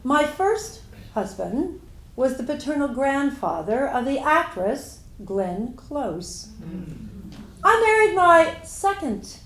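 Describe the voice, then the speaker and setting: A woman acts out a composed, wealthy businesswoman heiress in a staged reading. She recites her life story in measured phrases with a fairly high voice. The sound is strongly roomy and echoey. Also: sing-song, fairly bright tone, a little hiss or hum, exaggerated voice. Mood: composed, matter-of-fact. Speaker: presenter in a dramatic reading. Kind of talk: first-person scripted historical monologue. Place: indoor room at a live event. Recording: roomy, echoey live hall recording.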